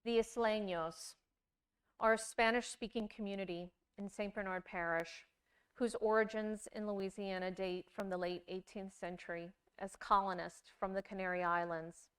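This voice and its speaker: A woman giving a scripted storytelling performance, with deliberate, measured phrasing and dramatic pauses. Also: medium pitch, steady tone, clear, resonant tone. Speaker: monologue performer.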